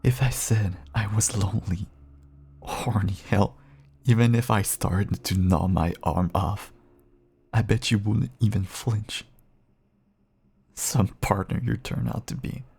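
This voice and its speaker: A caged male vampire, acted out by a man, speaks slowly in a raspy, crackling voice. He clings and pleads in an intimate audio drama, swinging between hushed endearments and bitter reproach. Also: lowish voice, full character voice. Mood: bitter, despairing.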